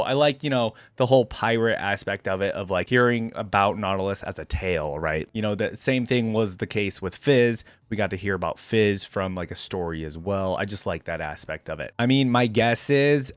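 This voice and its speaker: A man gives informal commentary as a reaction host. His voice sits a little low, with a very dry sound, and he talks casually as if thinking aloud. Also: no echo.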